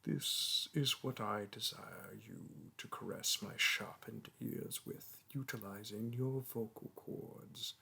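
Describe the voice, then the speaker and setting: A man's voice: fairly low pitch, takes it slowly, gravelly, few pauses, sharp tone, breathy edge, heavily acted. A man voicing a proud, ancient male dragon teacher who speaks in grand, archaic, formal phrases in a romantic audio roleplay. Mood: haughty, tender.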